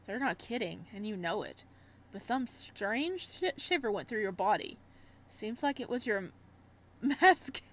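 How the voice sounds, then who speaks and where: A woman streamer reading a visual novel aloud in an expressive way, breaking off for casual reactions. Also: mid-range voice, unhurried. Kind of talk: let's-play read-aloud with casual reactions.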